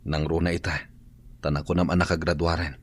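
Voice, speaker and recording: A man's voice: low voice, little pitch movement, brisk, a little hiss or hum, natural voice. A man with an Ilocano accent playing a remorseful, downcast man in a radio drama, subdued and brooding. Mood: regretful. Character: remorseful, downcast man regretting a lost woman.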